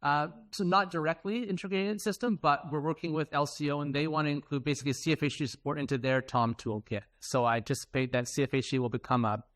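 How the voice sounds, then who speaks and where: A man's voice: on the high side, steady tone, quick pace, hardly any pauses, fairly bright tone, no echo. A man presenting at a technical conference in an informal, conversational way, with casual asides. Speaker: conference presenter, software developer.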